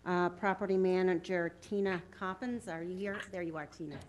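A woman runs a town council work session in a brisk, procedural way. She keeps her remarks short and moves the meeting along, speaking into a microphone in council chambers. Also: on the low side, steady tone, dull audio, background noise. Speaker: town supervisor chairing the meeting. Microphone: room microphone.

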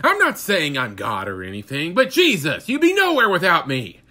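A man's voice: fairly high pitch, wildly swooping pitch, normal speed, sharp tone, full character voice. A man playing a smug, arrogant self-proclaimed genius with a conceited, condescending manner.

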